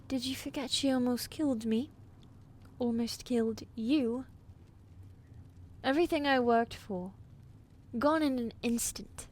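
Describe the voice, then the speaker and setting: A woman's voice: fairly high pitch, darkish tone. A woman playing a ruthless, imperious evil queen in an audio roleplay, speaking with haughty command. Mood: angry, scornful. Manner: haughty, commanding, slow menacing delivery. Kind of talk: scripted audio roleplay acting.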